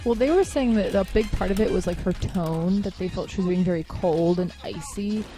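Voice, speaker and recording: An upbeat woman in her twenties from Ukraine, a native Ukrainian speaker, talks as a journalist guest on a casual podcast. She is chatty and laughs easily. Her voice sits at a middle pitch, with music clearly playing underneath. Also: fairly quick. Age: 20s. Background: game music playing over the talk.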